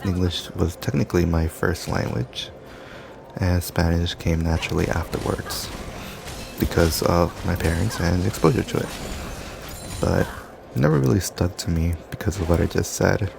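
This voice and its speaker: Man, young adult, rambling gameplay talk, meandering, low voice, noisy recording. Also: normal speed, creaky. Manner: loose, meandering, filler-heavy storytelling. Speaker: gaming commentator. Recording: background noise.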